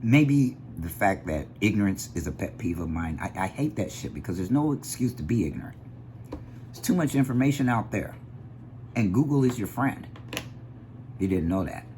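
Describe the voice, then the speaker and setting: Deep-voiced man ranting animatedly. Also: moderate pace, slight background noise. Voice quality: deep bass voice. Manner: animated, ranting, drifts off on tangents. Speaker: vlogger. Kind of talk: casual opinion monologue.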